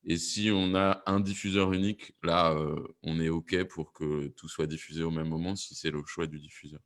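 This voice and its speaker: Male producer on a panel, very deep voice, halting search for phrasing. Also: level tone. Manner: halting, repeats words while searching for phrasing. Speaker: audio fiction producer, panel guest. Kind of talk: panel discussion, explanatory.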